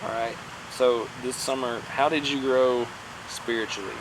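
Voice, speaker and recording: A man's voice: medium pitch, a little slow. Man asking questions, traffic noise. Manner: relaxed, brief prompts. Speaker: interviewer. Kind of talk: casual interview questions. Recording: clear outdoor traffic noise.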